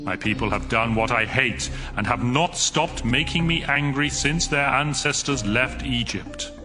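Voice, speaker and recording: A man's voice: mid-range voice, measured pace, lightly acted. A man playing a stern, commanding God in a dramatized scripture reading, solemn and declamatory. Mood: stern wrath. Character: the Lord God, commanding, stern male deity. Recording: music bed behind the voice.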